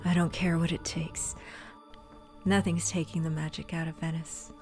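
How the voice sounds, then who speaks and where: A woman's voice: deep voice, flat delivery, moderate pace, loud music behind the voice. A fierce young witch. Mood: determined. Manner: intense inner monologue, bursts of anger. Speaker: lead character. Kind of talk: audio drama acting.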